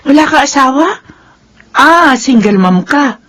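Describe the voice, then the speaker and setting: An actress with a Filipino accent playing a chatty, nosy but soft-hearted landlady in a radio drama. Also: high voice, expressive, unhurried. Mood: curious. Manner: inquisitive, familiar.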